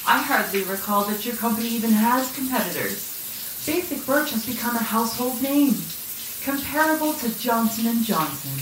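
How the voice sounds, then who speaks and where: A woman playing a polished, upbeat morning television host in an audio drama, interviewing with formal, flattering presenter phrasing. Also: a little high, moderate pace, a little hiss or hum, natural voice. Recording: distant echoey television sound, music, running water.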